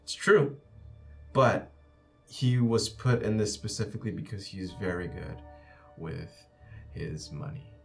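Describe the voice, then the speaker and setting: A man with a fairly low voice improvises a character description as a tabletop player. He talks casually and haltingly, feeling his way through it, over faint background music. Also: animated, gravelly, a little mellow. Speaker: player in a tabletop roleplaying game. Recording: music playing underneath.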